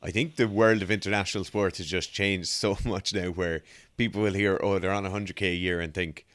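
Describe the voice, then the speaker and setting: Male co-host, very low and smooth voice, measured analytical commentary, dry sound. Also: steady tone, fairly bright tone, no room sound. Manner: measured and analytical, breaking down technique. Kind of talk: casual technical commentary.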